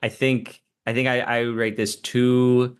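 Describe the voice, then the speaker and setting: A man on a podcast chatting casually, halting and hesitant, restarting before he gives his rating. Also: mid-range voice, little pitch movement, clean tone. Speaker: podcast co-host. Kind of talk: casual chat.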